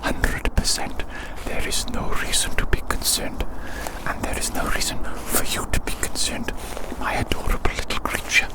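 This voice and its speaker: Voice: moderate pace, poor audio. An ASMR host whispers a playful, soothing, cutesy ramble outdoors in the woods.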